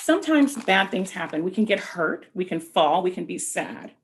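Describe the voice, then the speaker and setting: A woman reading a picture book aloud to children, explaining things simply with asides and questions in a slightly husky voice. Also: fairly low pitch, moderate pace. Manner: expressive, explaining simply and addressing young listeners with questions. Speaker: read-aloud host for children.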